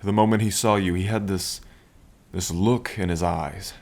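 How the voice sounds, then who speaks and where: A man's voice: low-pitched, steady tone, normal speed, vocal fry, darkish tone. A man playing a playful, lovestruck dragon king in an intimate ASMR roleplay, with a languid, teasing and tender manner. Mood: earnest, reflective. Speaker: roleplay voice performer. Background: rain.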